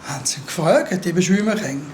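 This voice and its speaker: An actor playing a calm, insistent investigator with a Swiss German accent, formal and measured, in a radio play. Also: medium pitch, reverberant, slight background noise, close to an everyday voice. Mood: probing. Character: calm, probing police-style investigator questioning a witness. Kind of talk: naturalistic radio play dialogue.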